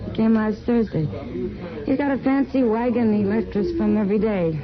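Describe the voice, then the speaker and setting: A woman's voice: a little high, normal speed, lightly acted. An actress playing a friendly, slightly tipsy frontier saloon woman in a radio western, woozy and insistent. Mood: tipsy enthusiasm. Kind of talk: old-time radio western drama acting. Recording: muffled old radio recording, crowd noise behind.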